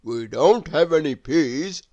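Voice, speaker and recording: A woman's voice: low-pitched, animated, takes it slowly, mellow, lo-fi sound. A woman voicing a blunt male shopkeeper in a children's storybook, matter-of-fact in manner.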